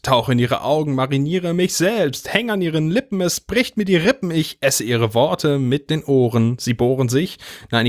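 A man's voice: mid-range voice, dry recording. Man reciting dramatic poetry, very dry sound. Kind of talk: performed spoken-word poetry recital.

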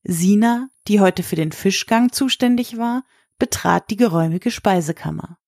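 A woman narrating a scripted story in a measured, literary reading style. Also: mid-range voice, a little mellow, dry recording, studio quality.

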